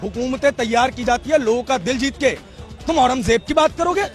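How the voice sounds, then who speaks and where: A man's voice: very high-pitched, brisk. A man from Rajasthan, arguing in an impassioned street debate, lecturing and repeating his questions insistently. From Rajasthan, India. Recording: music behind the voice. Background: music.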